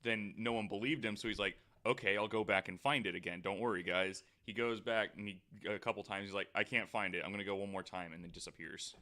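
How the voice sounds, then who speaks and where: Deep, bright-toned man rambling through a story. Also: steady tone, fast talker, few pauses. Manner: rambling, swears casually, laughs at himself. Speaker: podcast host presenting the story. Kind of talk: casual panel chat, reading out notes.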